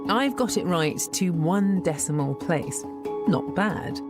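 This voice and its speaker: A woman presenting a documentary, narrating and explaining in a lively, lightly self-deprecating way. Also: fairly low pitch, slow. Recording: music bed under the voice.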